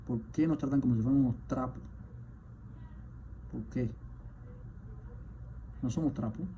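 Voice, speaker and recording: A man, a physician, delivering an impassioned spoken message, indignant and firing off rhetorical questions, at times surging louder. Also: mid-range voice, unhurried. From Cuba. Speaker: physician, rheumatologist. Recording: dull lo-fi sound with faint background noise.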